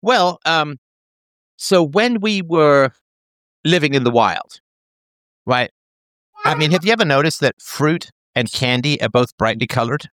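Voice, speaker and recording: Animated man explaining things playfully. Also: fairly high pitch, unhurried, pauses often, no room sound. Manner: animated, playful, talks as if to a child. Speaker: parent explaining an idea. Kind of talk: casual chat.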